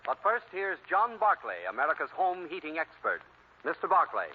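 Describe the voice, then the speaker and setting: Male 1940s radio announcer: fairly high, animated, muffled vintage recording. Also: normal speed, slight background noise.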